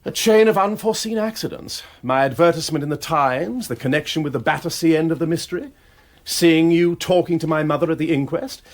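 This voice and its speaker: A man's voice: fairly high pitch, a little fast, close to an everyday voice. A man playing a nimble-witted aristocratic detective in a British radio drama, with an upper-class English accent and an airy, wordy, self-deprecating manner. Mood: brisk, assured. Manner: airy, wordy, drily teasing.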